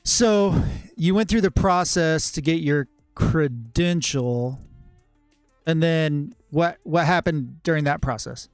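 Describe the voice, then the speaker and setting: A man's voice: fairly high pitch, slow, no room sound, soft background music. A man hosting a podcast, asking questions in a casual, conversational interview style. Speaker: podcast host.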